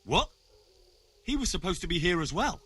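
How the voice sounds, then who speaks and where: A man's voice: high-pitched, sing-song, moderate pace, bright, forceful tone, slightly rough, exaggerated voice. An actor playing an earnest, stubborn young man investigating in a medieval video game drama. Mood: angry, alarmed.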